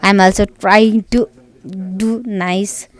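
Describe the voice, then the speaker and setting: A woman's voice: medium pitch, steady tone. Nepali-accented woman casting esports live. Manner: halting non-native English, frequent fillers. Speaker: esports caster.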